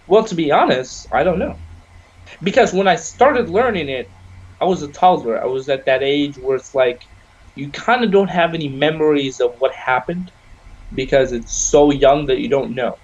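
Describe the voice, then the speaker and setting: A young man whose first language is Arabic, chatting casually on a podcast. His voice sits a little higher than most men's and he rambles, tossing in "you know" all the time. The recording is dull and muffled. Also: lively intonation, normal speed. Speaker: podcast co-host. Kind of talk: casual chat.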